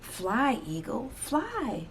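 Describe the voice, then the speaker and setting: A man's voice: very high voice, wildly swooping pitch, extremely slow, a bit bright, breathy edge, voice pushed up, full character voice. A woman voicing an eager, insistent man in a children's storybook, urging in an earnest way. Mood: earnest, hushed. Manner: earnest, exhortative.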